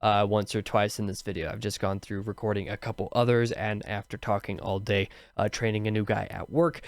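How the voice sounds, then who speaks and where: A man in his twenties or so talks through a book review at an easy, meandering pace. His voice is worn and a bit scratchy from a long day of talking, yet it stays smooth at its core. The recording is close and dry, with no room sound. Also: lowish voice, level tone, brisk, no room sound. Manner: rambling, many fillers and restarts. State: tired, slightly scratchy voice. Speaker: book review channel host. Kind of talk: casual spoken book review.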